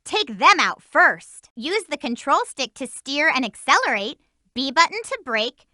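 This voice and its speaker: A woman's voice: sits high, sing-song, unhurried, bright, forceful tone, no room sound, exaggerated voice. A woman voicing a spirited, bossy teenage girl hedgehog in a video game, delivering hints in an upbeat, emphatic way, recorded close in a studio. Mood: eager. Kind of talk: game voice lines and tutorial hints.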